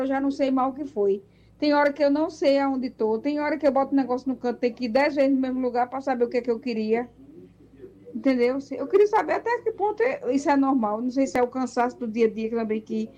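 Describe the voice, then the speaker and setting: An older woman in her sixties records a phone voice message for a radio program. Her voice sits a bit high yet mellow and soft. She rambles and circles back, repeating words with emphatic feeling. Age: 60s. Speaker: radio listener sending a voice message.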